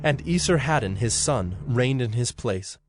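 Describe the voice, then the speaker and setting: A man's voice: mid-range voice, expressive, unhurried, music in the background. A man narrating a dramatized scripture reading in a formal, solemn manner.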